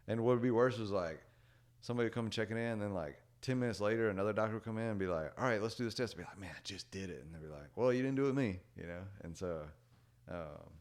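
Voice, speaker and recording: A young man chatting casually as a podcast guest, rambling with lots of "like" and "dude" and letting his sentences trail off quietly. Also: sits low. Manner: rambling, many "like" and "dude" fillers; relaxed, trails off quietly at ends of thoughts. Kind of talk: casual storytelling chat.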